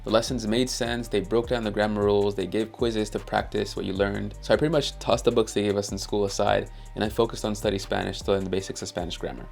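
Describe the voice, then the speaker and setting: A man's voice: lowish voice, gravelly, a little mellow. A young man from Haiti whose first language is Haitian Creole, telling his own story in an earnest, upbeat scripted narration. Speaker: language-learning YouTuber.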